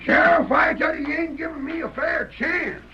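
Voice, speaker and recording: An actor playing an indignant, desperate jailed frontier man in an old radio western, pleading in a raspy, excitable folksy voice. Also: very high-pitched, moderate pace, slight background noise, heavily acted. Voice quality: raspy, strained. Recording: dull old radio recording.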